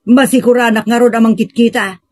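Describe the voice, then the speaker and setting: Woman playing a feisty, husky-voiced village woman. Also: a little high, steady tone, a little fast, darkish tone, no echo, studio quality, close to an everyday voice. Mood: grumbling. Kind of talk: Ilocano radio-style comedy drama acting.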